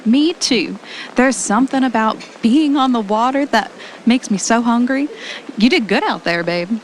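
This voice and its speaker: A woman's voice: fairly high pitch, animated, moderate pace, lightly acted, rough recording. A woman acting an affectionate, easily unnerved young wife in an amateur audio drama, speaking casually and conversationally. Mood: cheerful. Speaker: actor in an amateur radio drama. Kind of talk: naturalistic audio drama dialogue. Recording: noticeable background noise, amateur recording.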